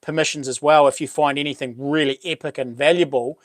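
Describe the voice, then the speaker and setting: A middle-aged New Zealand man with a big, boomy voice talks loosely and at length, full of ums and restarts. His pitch sits a little high for a man. The sound is close and dry, with no room echo. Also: a little fast. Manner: relaxed, rambling, many ums and restarts. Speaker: livestream host. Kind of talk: casual live chat reading comments.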